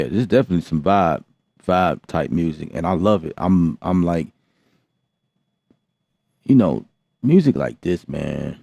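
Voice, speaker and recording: A man's voice: very deep voice, vocal fry, warm tone, dry recording. A man hosting a live beatmaking session, chatting casually and rambling with lots of fillers and restarts. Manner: laid-back, rambling, many fillers and restarts. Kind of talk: casual live-stream chat. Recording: dull lo-fi sound.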